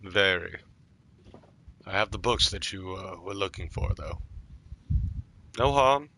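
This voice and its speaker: Slow, creaky, low-voiced man. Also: expressive, bright, forceful tone, a little hiss or hum. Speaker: streamer roleplaying a game character. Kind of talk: live in-character gameplay roleplay.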